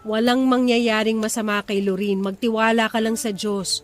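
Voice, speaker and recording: A woman's voice: fairly high pitch, level tone, moderate pace, soft background music, close to an everyday voice. A woman playing an earnest, steadfast wife and mother who soothes and reassures, in a radio drama.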